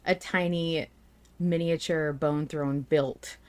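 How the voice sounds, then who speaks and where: A woman's voice: sits low, steady tone, takes it slowly, darkish tone. A woman co-hosting a podcast, chatting casually and informally as she speculates about a story. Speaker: podcast co-host. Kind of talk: casual fan podcast chat.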